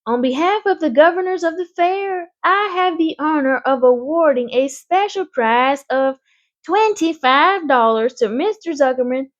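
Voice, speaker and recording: A woman voicing a grand, formal male announcer at a fair, speaking over a loudspeaker in a storybook reading. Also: sits high, lively intonation, a little slow, voice pushed up. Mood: grandiose. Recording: dull lo-fi recording.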